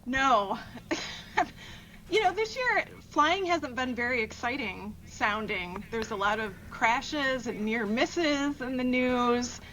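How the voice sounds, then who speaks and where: A woman's voice: fairly high pitch, slow pace, a bit bright, a little hiss or hum, poor audio. A woman author chatting casually as a podcast guest in an agreeable, lightly wry way. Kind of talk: casual chat.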